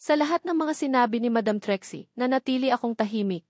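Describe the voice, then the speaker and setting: A woman's voice: on the high side, fast talker, a little mellow, no echo, studio quality. Woman narrating a romance novel. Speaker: audiobook narrator.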